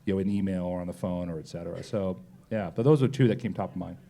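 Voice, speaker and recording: A man with a low, relaxed, mellow voice answers questions as an executive at a live talk. He speaks conversationally, softening his points with small filler phrases. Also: fast talker, creaky. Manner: conversational, hedging with kinda and you know. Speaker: company executive being interviewed. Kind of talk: live panel interview answers. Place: on stage at a live pub talk event.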